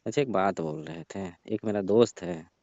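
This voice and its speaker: Man acting sly young guy, low dull voice. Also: moderate pace, mellow, no echo, natural voice. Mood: coaxing. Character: sly, coaxing young man, the woman's son's friend. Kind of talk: casual phone conversation acting. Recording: muffled lo-fi phone call recording.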